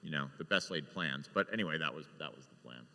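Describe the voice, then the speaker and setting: A man's voice: very deep voice, expressive, fast talker, sharp tone. A man who produces television, casually sharing anecdotes as a panel guest. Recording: echoey hall with long reverb.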